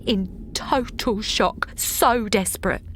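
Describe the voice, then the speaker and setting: A woman's voice: mid-range voice, takes it slowly, slight background noise, lightly acted. A woman playing a shaken protester, narrating in the first person in an audio drama. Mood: shaken, horrified.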